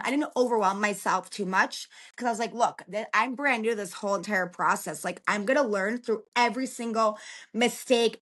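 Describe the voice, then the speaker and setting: A woman's voice: medium pitch, sharp tone. A woman in her thirties from Chicago, a guest on a podcast, talking in a chatty, encouraging way with a lot of filler words. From Chicago, United States. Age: 30s. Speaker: podcast guest, relocation consultant. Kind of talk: casual interview talk.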